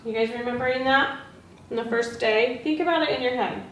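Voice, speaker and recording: A woman's voice: on the high side, brisk, fairly bright tone, highs cut off. A woman practicing classroom teaching, asking questions and echoing back answers in an encouraging way. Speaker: teacher rehearsing a read-aloud lesson with colleagues. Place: indoor room with group, distant mic. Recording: echoey room, distant mic, faint noise.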